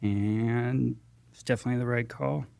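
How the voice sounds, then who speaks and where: Gravelly-voiced American man in casual conversation. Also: medium pitch, fairly even intonation, moderate pace, warm tone. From Texas and Georgia, US. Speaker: guest paramedic.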